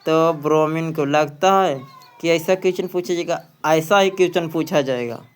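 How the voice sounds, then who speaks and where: A man's voice: fairly high pitch, moderate pace, clean tone. A man reads out practice questions and answers as an exam coaching teacher, repeating phrases for emphasis.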